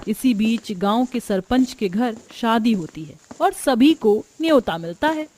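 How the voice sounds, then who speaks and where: A woman's voice: a little high, lively intonation, measured pace, a little mellow. A woman storyteller giving scripted narration of a family tale.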